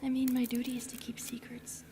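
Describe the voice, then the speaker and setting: A woman playing a shy, gentle female forest spirit in an audio roleplay, speaking softly and breathily, often near a whisper, in a hesitant, apologetic way. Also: fairly high pitch, monotone, a little hiss or hum, close to an everyday voice. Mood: bashful, tender. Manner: hesitant, self-effacing, apologetic, halting. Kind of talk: interactive fantasy audio roleplay addressed to the listener.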